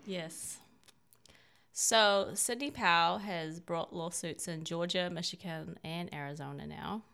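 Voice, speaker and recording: A woman's voice: lowish voice, slow, gravelly, fairly bright tone, clean tone. A woman from China co-hosting a conversational political talk show, speaking in an emphatic, opinionated way. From born in China.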